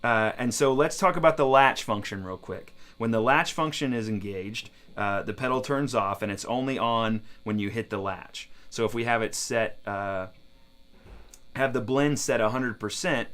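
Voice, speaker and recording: A man's voice: medium pitch, normal speed, fairly bright tone. A man talking casually as he demonstrates guitar gear in a tutorial.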